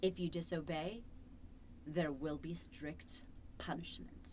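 A woman playing a cold, controlling artificial intelligence in a horror radio drama, measured, commanding and possessive. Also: deep voice, steady tone, a little mellow, slight background noise. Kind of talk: old-time radio horror acting.

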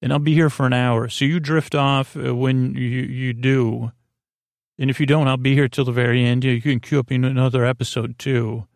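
A man hosting a sleep podcast, rambling in a whimsical, hesitant bedtime monologue with stammered false starts and a creaky, gravelly voice. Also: mid-range voice, little pitch movement, moderate pace, no room sound, clean studio sound.

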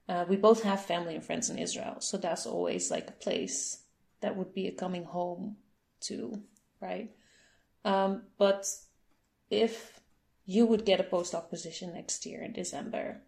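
A woman's voice: fairly low pitch, creaky, darkish tone. A young adult woman, interviewing in a chatty way and laughing often. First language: Dutch. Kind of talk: casual conversation.

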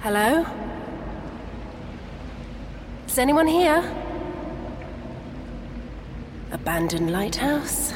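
A woman's voice: mid-range voice, background noise, lightly acted. An actress playing a well-mannered, anxious but determined wife searching for her husband in a gothic noir audio drama. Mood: nervous, wry. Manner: polite, brisk, wry when alone.

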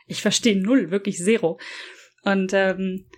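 A woman's voice: mid-range voice, steady tone, slow pace. A young woman in casual conversation who laughs often, swears freely and often restarts her sentences. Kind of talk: casual chat. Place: lives in Japan.

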